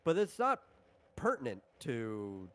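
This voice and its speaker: A man with a mid-pitched voice runs a tabletop roleplaying game as game master. He talks through rules and equipment in an unscripted, explanatory way. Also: wildly swooping pitch, slow, fairly bright tone.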